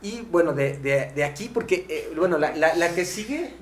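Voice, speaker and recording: A middle-aged man with a Mexican accent co-hosting a casual podcast, haltingly repeating words as he recalls things. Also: fairly high pitch, expressive. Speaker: podcast co-host. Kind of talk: casual panel discussion. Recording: echoey room with background noise.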